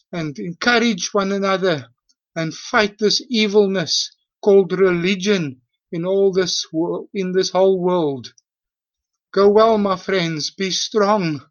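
A South African man in his late fifties speaks slowly in a fairly high voice. He gives an earnest, halting personal testimony, leaning hard on his words. The sound is a dull, lo-fi voicemail recording. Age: late 50s. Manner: earnest, halting, emphatic, repeats himself. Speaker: voicemail caller.

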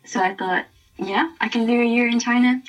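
A woman's voice: a little high, moderate pace, poor audio. Young woman answering casually. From Britain, half Chilean. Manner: friendly, laughs lightly between answers. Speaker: interview guest. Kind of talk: casual conversational interview.

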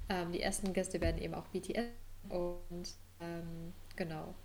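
A woman co-hosting a casual pop fan podcast, often pausing mid-sentence to find her words. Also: on the low side, little pitch movement. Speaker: podcast co-host. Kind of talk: casual fan chat about pop music. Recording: noticeable background noise.

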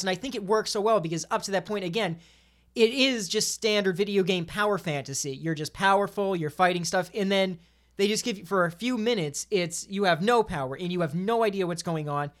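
A speaker chatting casually about video games on a podcast in a rambling, stammering way, often repeating phrases. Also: medium pitch.

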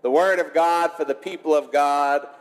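A man's voice: a little high, steady tone, normal speed, slight background noise. A man speaking as a pastor, leading prayer and preaching to his congregation. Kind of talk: church prayer and sermon.

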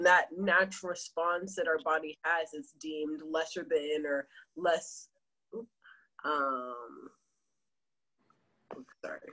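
Thoughtful interviewer on a video call, reflective, lots of "um" pauses. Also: medium pitch, fairly bright tone, husky, low-quality recording. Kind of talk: casual conversational interview.

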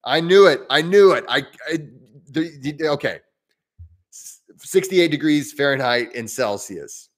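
A man's voice: fairly high pitch, expressive, unhurried. A man from southern Indiana with a local accent, hosting a casual livestream and answering viewer questions in a rambling, chatty way with frequent restarts. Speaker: livestream host.

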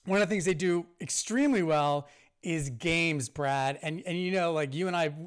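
A man's voice: a little high, moderate pace, clean tone. A man co-hosting a podcast, introducing a guest with enthusiasm. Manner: enthusiastic, repeats "amazing". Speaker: podcast co-host. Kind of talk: casual podcast chat.

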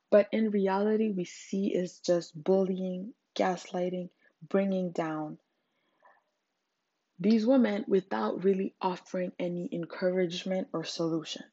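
A woman's voice: medium pitch, fairly even intonation, a little slow, warm tone, breathy edge, dry recording, muffled recording. A young Zimbabwean woman, speaking English as a later language, hosting her own podcast in an earnest, emphatic way. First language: not English. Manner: earnest and emphatic, frequent fillers. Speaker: podcast host. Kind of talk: solo podcast monologue.

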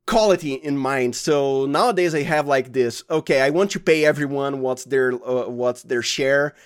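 A man's voice: mid-range voice, moderate pace, smooth. A man with a Brazilian accent and Portuguese as his first language, chatting casually as a podcast guest and searching for his words. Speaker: podcast guest, audio fiction producer. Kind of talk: casual interview chat.

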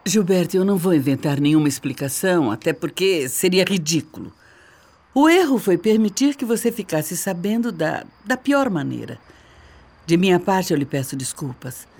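An actress with a Brazilian accent playing a composed, calculating middle-aged mother in a radio soap opera, speaking in a measured, hesitant, confessional way. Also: lowish voice, lively intonation, a little mellow. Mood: contrite, grave.

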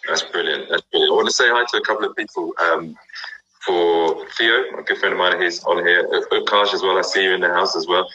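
A man's voice: low-pitched, steady tone, fairly quick, pushed and bright, husky. A man hosting a live interview chat, upbeat and encouraging, often repeating his phrases. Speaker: host. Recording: dull lo-fi video call audio.

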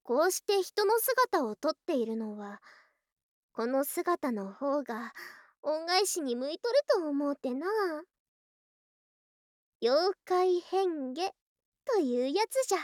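A high, girlish lamia for an ASMR voice drama, speaking in grand, archaic turns of phrase. The snake spirit is proud yet affectionate and takes her time, in a very dry, close recording. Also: very animated, slow, long pauses, no room sound, heavily acted. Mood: proud, explaining. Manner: archaic haughty old-fashioned speech, theatrical. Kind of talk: Japanese ASMR situation voice drama.

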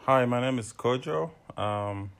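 A man from Togo speaking hesitantly, with many pauses and fillers, as he tells how he met his wife. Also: medium pitch, vocal fry, fairly bright tone, smooth. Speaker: interviewee, husband and father. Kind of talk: casual chat.

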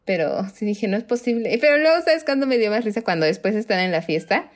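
A woman's voice: medium pitch, expressive, fast. A young woman with a Mexican Spanish accent, co-hosting a podcast in casual chat, laughing often and acting out her own reactions. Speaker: podcast co-host.

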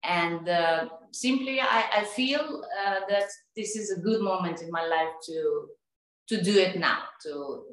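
A woman from Serbia with a Serbian accent answers as an interview guest. She talks slowly and haltingly in a slightly low voice, searching for her English words, and the room around her is heavily echoing. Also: fairly bright tone. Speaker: interview guest, tennis coach and painter.

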